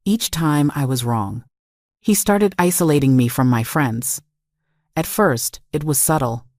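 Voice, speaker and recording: A woman's voice: low-pitched, expressive, unhurried, a little mellow, no room sound, studio quality. A woman reading a scripted first-person dramatic story in a confessional, emphatic way. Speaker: story narrator.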